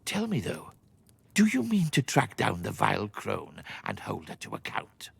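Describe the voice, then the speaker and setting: A man's voice: lowish voice, huge pitch swings, unhurried, gravelly, slightly rough, no echo, full character voice. A man playing an ominous, theatrical storyteller in a fantasy video game, foreboding in manner. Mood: wary, foreboding. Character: ominous, theatrical storyteller of a cursed village's tragedy. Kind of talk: dramatic video game narration. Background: music.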